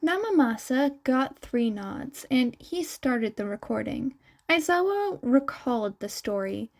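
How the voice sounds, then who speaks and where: Slow, high-voiced woman narrating a story. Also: a little mellow. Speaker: narrator, podfic reader. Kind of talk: scripted narration of fan fiction.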